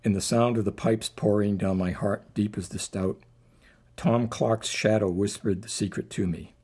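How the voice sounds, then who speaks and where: Husky, low-voiced man at a poetry reading. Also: fairly even intonation, normal speed, warm tone. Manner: rambling, self-deprecating. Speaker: poet introducing and reading own poem. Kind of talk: informal live poetry reading introduction with anecdotes.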